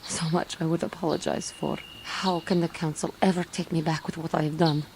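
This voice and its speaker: A woman's voice: low-pitched, level tone, a little fast, noisy background. A woman playing a proud, bitter fallen Jedi tormented by guilt, with intense, theatrical and formal delivery in a video game. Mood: remorseful. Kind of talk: dramatic video game voice acting.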